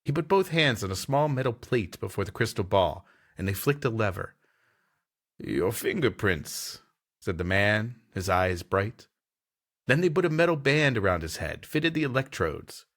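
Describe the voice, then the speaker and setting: A man's voice: on the low side, animated, normal speed, fairly bright tone. A man who hosts and reads a pulp story aloud in a dramatic narrating style. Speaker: host and story reader.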